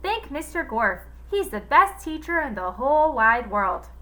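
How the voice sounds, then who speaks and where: A woman voicing a male villain who mimics a little girl in a high, sugary, overly innocent tone. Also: sing-song, unhurried, fairly bright tone, heavily acted.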